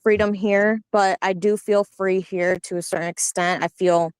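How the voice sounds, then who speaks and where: A woman's voice: mid-range voice, fairly even intonation, normal speed, clean tone, no room sound. A woman in a casual political discussion, speaking reflectively with many fillers. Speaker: panelist.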